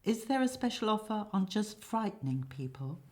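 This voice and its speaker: A woman's voice: mid-range voice, very animated, moderate pace, darkish tone, voice pushed up, lightly acted. A woman voicing a nervous, lovesick young man in a comic stage play, hesitant and prone to stammering. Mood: anxious.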